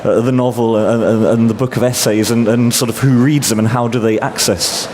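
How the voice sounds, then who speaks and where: A man's voice: mid-range voice, fairly even intonation, a little fast. A man moderating a literature panel, asking long, thoughtful framing questions. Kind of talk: panel discussion. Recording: loud traffic noise behind.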